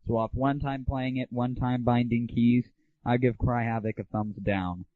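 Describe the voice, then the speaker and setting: A man's voice: medium pitch, steady tone, normal speed, dry recording. A man hosting a gaming audio show, giving casual, slangy, opinionated and irreverent reviews. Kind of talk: casual solo rant and game review. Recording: narrow, phone-like 1990s internet audio.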